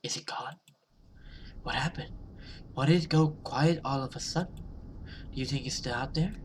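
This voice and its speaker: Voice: medium pitch, moderate pace, a little hiss or hum, lightly acted. A video game player voicing an anxious young woman surviving a monster chase, in dramatic visual novel dialogue. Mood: hushed, tense.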